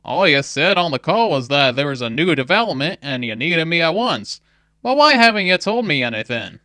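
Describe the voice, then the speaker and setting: A woman doing a jumpy, excitable bearded man. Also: low voice, normal speed, no echo. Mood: agitated. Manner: yelping, blunt.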